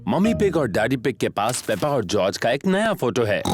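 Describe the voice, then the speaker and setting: A man narrating a children's cartoon in a measured, expressive storytelling way. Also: mid-range voice, over music, natural voice.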